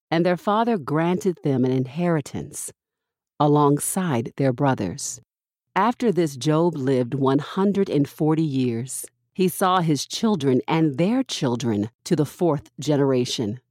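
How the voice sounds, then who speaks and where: A woman reading scripture from a script as a podcast host, with measured, deliberate phrasing. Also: low voice, a little mellow, no room sound, clean studio sound.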